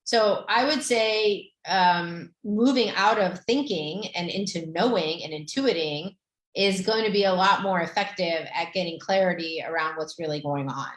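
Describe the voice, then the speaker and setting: A woman's voice: on the low side, measured pace. Romanian-born woman coaching in an echoey room. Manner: gentle, many fillers like "um" and "like". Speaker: podcast host, therapist. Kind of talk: guiding an anxiety tapping exercise.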